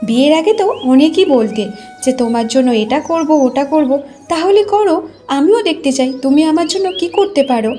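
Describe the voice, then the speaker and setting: Worried cartoon wife, played by a woman: high pitch, insistent, complaining, over faint music. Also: lively intonation, a little fast, dark tone. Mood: exasperated. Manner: reproachful, insistent. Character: worried, nagging wife of a poor villager. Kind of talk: cartoon story dialogue.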